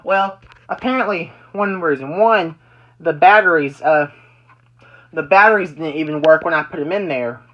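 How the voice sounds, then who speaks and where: A person giving a casual, repetitive, halting show-and-tell as a hobbyist vlogger at home. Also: mid-range voice, highs cut off. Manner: repetitive, halting explanations with repeated phrases. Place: indoors at home.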